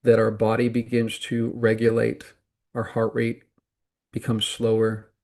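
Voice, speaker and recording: A man guiding a meditation as a teacher, speaking calmly and deliberately in short phrases with pauses between them. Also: on the low side. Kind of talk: guided meditation instruction.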